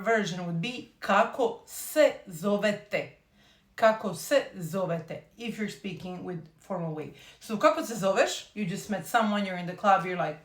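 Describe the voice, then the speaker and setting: Croatian woman teaching, echoey room. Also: mid-range voice. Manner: slow, careful repetition of phrases. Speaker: language teacher. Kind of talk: casual online language lesson.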